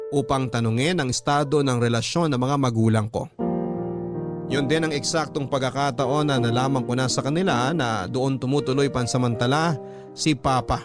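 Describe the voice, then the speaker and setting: A Filipino man narrates a scripted personal story for radio drama. His voice sits at a middle pitch, and music plays clearly behind it.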